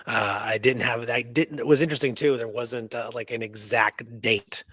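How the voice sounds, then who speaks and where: Male host, medium pitch, presenting research casually and thinking aloud, close dry recording. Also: steady tone, normal speed, fairly bright tone, dry recording.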